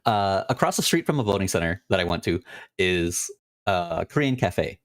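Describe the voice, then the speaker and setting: A man co-hosting a podcast in casual chat, dropping quick dry quips and teasing remarks. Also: low voice, animated, no echo. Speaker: podcast co-host.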